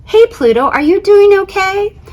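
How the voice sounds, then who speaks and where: A woman voicing a chummy, wisecracking storybook planet with a lively, slangy, teasing manner. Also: very high-pitched, normal speed, muffled recording, voice pushed up. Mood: concerned.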